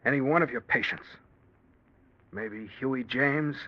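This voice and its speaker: An actor playing a tough, streetwise gangster in a 1940s radio crime drama, husky, blunt and slangy. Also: male voice, mid-range voice, a little slow, lightly acted. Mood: resentful. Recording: muffled vintage radio drama recording.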